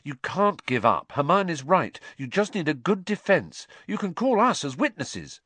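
A male storybook reader voicing a level-headed teenage boy. He sounds steady and encouraging, lifted a little above the reader's own pitch. The sound is dry and close. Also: unhurried, husky, dry recording, full character voice. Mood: urging.

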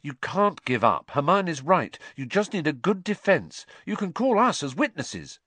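A man's voice: a little high, sing-song, unhurried, bright, forceful tone, dry recording, voice pushed up, exaggerated voice. A man voicing an earnest teenage schoolboy who speaks firmly and encouragingly. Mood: urging. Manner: firm and encouraging.

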